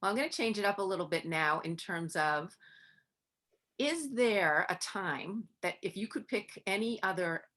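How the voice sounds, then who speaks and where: A woman's voice: mid-range voice, lively intonation, normal speed, fairly bright tone. A woman interviewer with a Boston accent, chatting casually and affirming her guest, with lots of "you know". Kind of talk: conversational interview.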